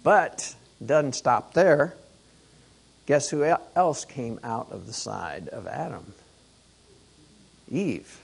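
A hoarse man working through a Torah portion at an unhurried pace. His voice is worn but he keeps it chatty, pausing to restart phrases and posing questions to his listeners. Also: medium pitch, lively intonation. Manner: conversational, rhetorical questions, many restarts. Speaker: Torah teacher.

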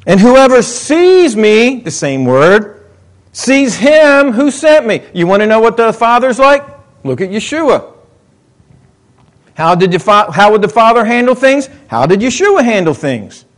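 A middle-aged man preaching a sermon in a conversational way, swinging between excited, emphatic lines and quiet muttered asides. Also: extremely high pitch, measured pace. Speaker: pastor.

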